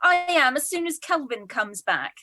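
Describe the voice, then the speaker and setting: A high, bright-voiced village woman played by an actress in a radio comedy. She is chatty and playful, the cheery amateur singer of the local pub, recorded close and dry. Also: sing-song, moderate pace, no echo, full character voice. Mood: matter-of-fact. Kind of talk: radio comedy drama acting.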